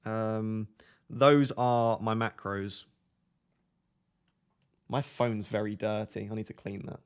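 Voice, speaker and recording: A young man hosting a live stream, chatting casually with his viewers in a flat, monotone, self-deprecating way. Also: lowish voice, takes it slowly, clean tone. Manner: self-deprecating, chatty, reads out chat messages. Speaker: livestream host.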